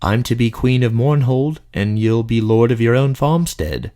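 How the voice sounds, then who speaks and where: A man voicing a young female dark elf queen, earnest, hesitant and girlish, in a fantasy audio drama. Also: medium pitch, animated, normal speed, close to an everyday voice. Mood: tender.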